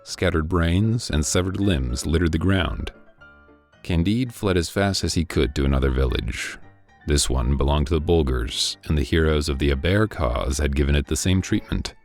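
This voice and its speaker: A man reading a classic novel aloud in scripted narration, with a measured, dry delivery. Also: rumbling low, animated, a little mellow, soft background music. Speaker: audiobook reader.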